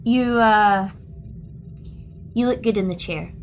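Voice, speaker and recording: A woman's voice: mid-range voice, takes it slowly, dull audio, faint music behind. A woman playing a friendly, doubting starship engineer in an audio drama, informal in manner. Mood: teasing.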